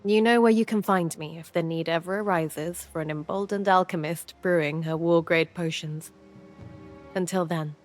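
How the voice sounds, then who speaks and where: An actress playing a confident, gracious alchemist in a game, speaking in a measured way. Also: female voice, lowish voice, faint music behind, close to an everyday voice. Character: female alchemist, confident and poised. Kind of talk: video game cutscene acting.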